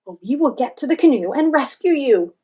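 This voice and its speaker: A woman's voice: high voice, lively intonation, a little fast, mellow, voice pushed up. A woman voicing a kind, encouraging female friend who leads the group in a children's storybook. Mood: urgent.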